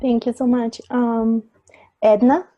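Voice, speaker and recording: A woman's voice: fairly high pitch, fairly even intonation, slow, highs cut off. A woman hosting a webinar on a video call, politely calling on each guest. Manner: polite, apologetic about names. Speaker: webinar host.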